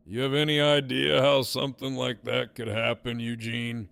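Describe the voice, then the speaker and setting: A man playing a smug, menacing gang leader in an audio drama, with a sardonic, profane, deliberate drawl. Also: medium pitch, little pitch movement, vocal fry, a bit bright, clear, resonant tone. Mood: cold displeasure.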